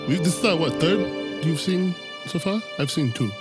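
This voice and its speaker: A man playing a tabletop game, improvising casually and informally in a halting way. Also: a little high, animated. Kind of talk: casual improvised role-play. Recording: prominent music under the voice.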